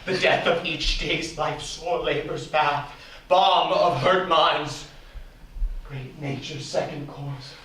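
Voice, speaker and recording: Man playing an anguished, high-voiced guilty nobleman. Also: steady tone, takes it slowly, a bit bright. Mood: haunted. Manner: intense, declamatory. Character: anguished, guilt-ridden nobleman murderer, male. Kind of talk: Shakespearean stage acting. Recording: very echoey hall, distant mic, faint noise.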